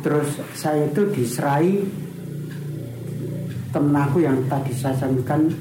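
A man's voice: on the high side, unhurried, echoey room, noisy background. A man in his sixties from East Java with a Javanese accent, reminiscing hesitantly in a casual interview, with many fillers and restarts. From East Java, Indonesia. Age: 60s. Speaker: interviewee, retired village official.

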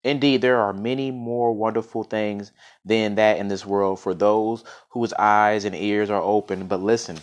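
A man voicing a calm, gentle giant ox. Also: lowish voice, measured pace, natural voice. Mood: calm, reassuring. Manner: calm, earnest, reassuring. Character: gentle, wise, loyal talking ox, great and strong.

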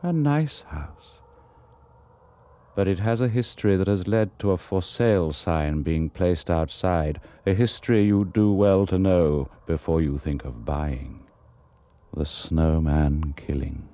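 An actor playing an ominous, wry narrator with a British accent, telling a radio horror tale in a deliberate, foreboding way. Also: male voice, low-pitched, dark tone.

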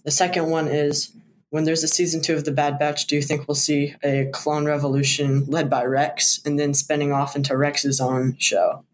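A man speaks in a flat, even tone on a recorded voice message with faint background noise. He lays out prepared questions one by one as a listener calling in. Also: fairly high pitch, normal speed.

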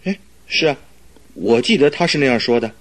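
An actor playing a detective's good-natured, curious companion in a radio drama, with a husky voice and a hesitant, questioning manner. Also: male voice, mid-range voice, animated, fairly quick, natural voice. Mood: thoughtful agreeing. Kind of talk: Chinese radio drama acting.

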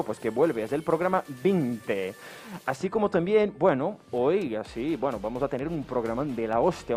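A man's voice: on the high side, darkish tone. A man hosting a casual podcast, talking with lots of enthusiasm and easy swearing. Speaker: podcast host. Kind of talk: casual chat.

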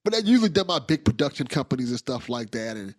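A man's voice: medium pitch, big pitch swings, quick pace, dry recording, clean studio sound. A man hosting a podcast, talking in a casual, rambling, enthusiastic way with slang. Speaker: podcast host. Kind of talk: casual interview chat.

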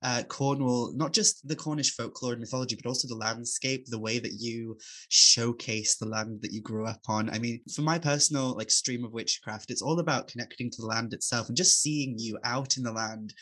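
Enthusiastic Welsh podcast host, mid pitch. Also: male voice, brisk. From Wales. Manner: enthusiastic, warm praise, hesitant fillers. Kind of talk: casual interview chat.

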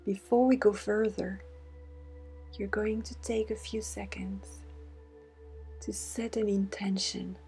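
Slow, soothing woman guiding a meditation. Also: medium pitch, level tone, warm tone. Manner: soothing, deliberate, with breathy half-whispered phrases. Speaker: guided meditation host. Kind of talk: guided meditation narration. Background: meditation music with singing bowl tones.

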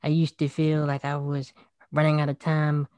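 A man in his twenties in casual chat, agreeable and chiming in with quick remarks. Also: on the high side, completely flat delivery, a little mellow, clean tone, no echo. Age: 20s.

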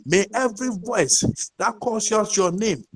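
A man's voice: high voice, animated, unhurried. A man preaching and leading congregational prayer, making insistent, repeated declarations. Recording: live room with crowd noise behind. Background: congregation praying.

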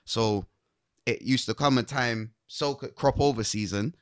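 A man's voice: medium pitch, a little slow, dry recording, studio quality. A young man from Barbados with a Barbadian accent, chatting casually as a guest, laid-back and laughing often. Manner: laid-back, laughs often, speaks in a Caribbean-British slang mix. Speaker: guest soca artist. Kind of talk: casual podcast chat.

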